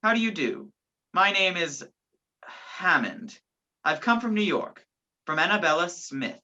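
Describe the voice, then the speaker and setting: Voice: mid-range voice, animated, a little slow, bright, forceful tone, highs cut off. A performer playing an earnest, anxious man on the run in a radio play, polite and hesitant. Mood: polite, guarded. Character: earnest, anxious male fugitive posing as job seeker.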